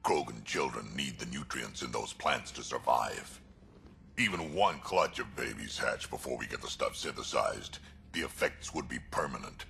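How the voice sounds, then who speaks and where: Gruff alien warrior, rumbling fry, blunt threats. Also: male voice, animated, moderate pace, bright, forceful tone, a little hiss or hum, heavily acted. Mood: determined. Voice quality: deep gravelly voice. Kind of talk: video game dialogue.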